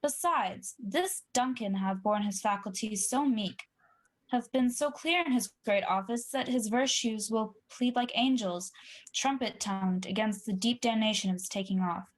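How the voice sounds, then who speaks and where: A woman's voice: a little high, moderate pace, close to an everyday voice. A woman playing a guilt-ridden wavering lord. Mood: brooding. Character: wavering guilt-ridden male nobleman, a murderer. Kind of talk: 1940s radio acting.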